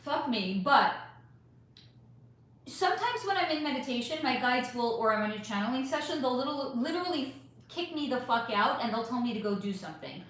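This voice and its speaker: A woman's voice: high voice, big pitch swings, a little fast, fairly bright tone. Rambling woman in a very echoey room. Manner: rambling, emphatic, swearing, answering chat questions. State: voice going hoarse. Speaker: psychic channel host reading live chat. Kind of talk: casual live-stream chat.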